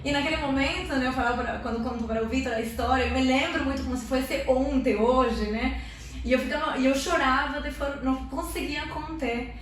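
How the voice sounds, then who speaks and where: A woman's voice: high voice, brisk, slight background noise. Woman sharing an emotional church testimony. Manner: earnest, tag questions, lively. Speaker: interview guest giving testimony. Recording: echoey room, mic at a distance.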